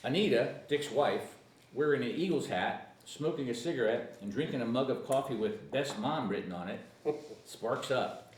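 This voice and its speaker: A man's voice: medium pitch, moderate pace. A man reading screenplay action lines at a comedic table read, casual, stumbling over words and chuckling. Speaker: screenplay narrator and reader. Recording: echoey room, far from mic, faint noise.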